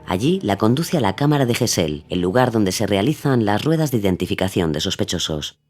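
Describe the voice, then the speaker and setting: A man's voice: on the low side, very animated, fairly quick. A man delivering scripted documentary narration as the narrator of an audio series. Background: soft music.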